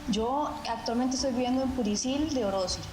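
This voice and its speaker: A Costa Rican woman speaks from home over a video call, and her voice comes through roomy and echoey. She talks in a measured, steady way, often tagging her sentences with "¿verdad?". Clear music plays underneath. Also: high voice. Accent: Costa Rican Spanish. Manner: measured, fills pauses with "¿verdad?". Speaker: mountain runner guest.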